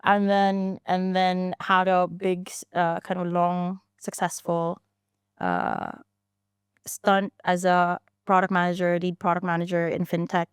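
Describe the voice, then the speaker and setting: A woman's voice: lowish voice, unhurried, clear, resonant tone, dry recording, clean studio sound. A woman in her thirties, a founder telling her story in a casual interview, chatty, with frequent restarts and fillers. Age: 30s. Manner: chatty, many restarts and fillers, laughs easily. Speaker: founder and guest.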